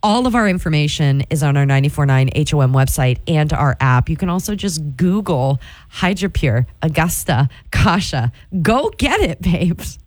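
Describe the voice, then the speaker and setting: A woman in her forties, a morning radio host, chats her way through an ad with a confiding, self-mocking warmth and sudden playful lifts in pitch. Her voice sits a little low for a woman. It is recorded close and dry, with no room sound. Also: animated, moderate pace, dry recording. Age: 40s. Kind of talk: chatty host-read radio ad testimonial.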